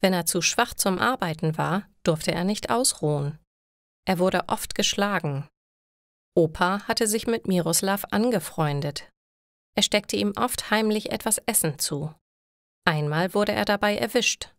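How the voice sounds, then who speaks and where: Woman, story narrator for language learners, medium pitch, deliberate read-aloud delivery, dry recording. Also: dry recording. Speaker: narrator of German learning stories.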